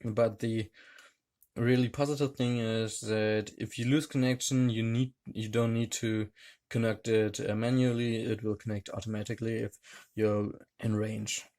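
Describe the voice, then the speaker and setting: A man reviewing a gadget hands-on, talking in a hesitant, halting way with many fillers. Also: on the low side, flat delivery, a little mellow. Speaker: tech reviewer. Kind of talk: hands-on product demonstration.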